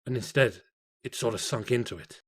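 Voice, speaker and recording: A man's voice: medium pitch, a little fast, fairly bright tone, slightly rough. A man playing a weary, plain-spoken carpenter, narrating his diary in blunt, musing phrases for a horror story. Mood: weary, rueful.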